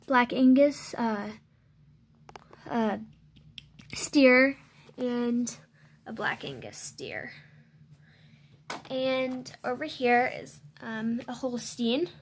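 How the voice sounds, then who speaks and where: A young female voice, fairly high and unhurried, talks through a tour of her toy farm. She pauses often, fills gaps with ums and corrects herself as she goes. It is recorded close on a handheld phone indoors. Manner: hesitant, many ums and self-corrections. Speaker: channel host giving a toy barn tour. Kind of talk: unscripted show-and-tell tour. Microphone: handheld phone filming close.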